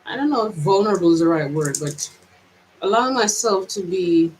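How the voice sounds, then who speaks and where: A woman's voice: lowish voice, lively intonation, a little fast, a little mellow, low-quality recording. A woman from Jamaica with a mixed Jamaican and American accent, giving a reflective personal vlog monologue in a hesitant, searching way with many restarts. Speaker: vlogger.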